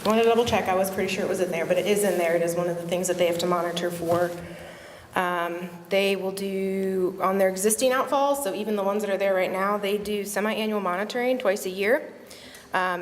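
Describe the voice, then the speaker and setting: A woman from the agency's permit staff explaining monitoring rules plainly at a public hearing. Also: mid-range voice. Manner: explanatory, matter-of-fact. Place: public meeting hall. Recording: echoey hall, faint noise.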